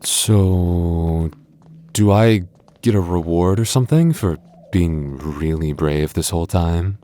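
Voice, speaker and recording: A young goth boyfriend, played by a man, talks close and soft in an ASMR roleplay. His voice sits low and warm, and he teases his partner fondly. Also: slow pace. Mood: affectionate. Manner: soft, intimate, playfully teasing. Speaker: voice actor.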